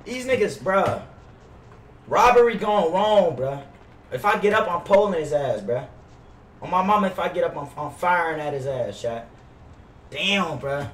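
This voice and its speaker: A man's voice: fairly high pitch, lively intonation, measured pace, long pauses, reverberant, recorded from a distance, slight background noise. A young American man streaming a roleplay game on a headset, talking in casual, slangy, sweary street talk. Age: young adult. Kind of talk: gaming livestream roleplay, casual slangy chat. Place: indoor room at gaming chair.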